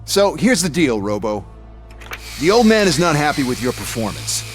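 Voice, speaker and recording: A man's voice: a little high, big pitch swings, moderate pace, faint music behind. A man playing a callous, smug corporate enforcer in a video game, with a sarcastic, taunting manner.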